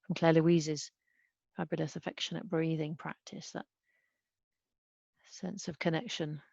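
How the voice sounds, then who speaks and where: A woman leading a guided body scan meditation as a mindfulness teacher, speaking gently and unhurriedly with long pauses. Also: low voice, little pitch movement, creaky, no echo. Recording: dull, muffled lo-fi sound.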